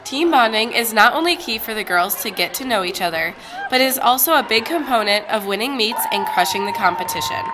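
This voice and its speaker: Woman, student reporter, scripted news read, steady, background noise. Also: on the high side, brisk.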